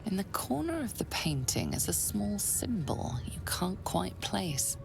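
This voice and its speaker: A woman voicing a grave, mysterious fantasy game storyteller with a measured, breathy, gravelly delivery. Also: medium pitch, big pitch swings, music in the background. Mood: hushed, ominous. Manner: slow, measured, portentous. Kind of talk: scripted second-person fantasy game narration.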